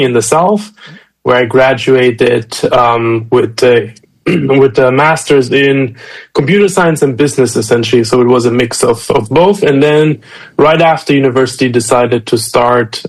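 A man's voice: medium pitch, poor audio. A man from Romania who grew up in Germany, answering interview questions thoughtfully with many ums and restarts. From Romania, raised in Germany. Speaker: guest, startup founder.

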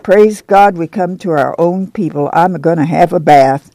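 Woman doing a weary farm mother, rural drawl, warm mellow tone, dry sound. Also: fairly low pitch, normal speed, no room sound, close to an everyday voice. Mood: eager. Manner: plain rural drawl, steady and earnest. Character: weary, proud farm mother, middle-aged.

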